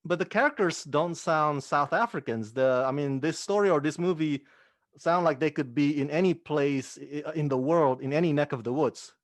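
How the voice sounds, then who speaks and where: A man interviewing an actress, asking relaxed, friendly questions. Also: on the high side, moderate pace, no room sound, studio quality.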